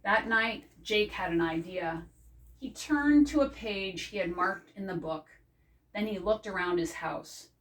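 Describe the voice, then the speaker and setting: Slow-reading older woman, mic at a distance. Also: mid-range voice. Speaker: librarian reading aloud. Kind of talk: children's picture book read-aloud. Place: indoors before a green backdrop. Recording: distant microphone.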